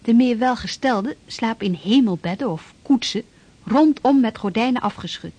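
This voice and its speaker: A woman's voice: a little high, expressive, normal speed, a little mellow. A woman reading scripted, descriptive narration within a radio play.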